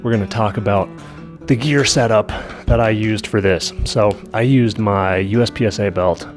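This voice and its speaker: Low-voiced male vlogger with a cold, casual and technical, music bed behind. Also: moderate pace. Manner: detailed, matter-of-fact technical talk. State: coming down with a cold. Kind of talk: casual gear explanation. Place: at home.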